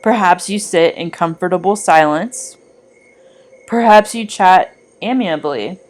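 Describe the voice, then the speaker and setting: Woman reading a guided meditation, lowish voice, really unhurried and measured. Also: a little mellow. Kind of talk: scripted guided meditation narration.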